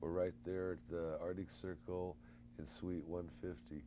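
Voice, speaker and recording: Folksy low-voiced man co-host. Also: a little slow, background noise. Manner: animated, folksy, preachy promoting. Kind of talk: casual call-in chat.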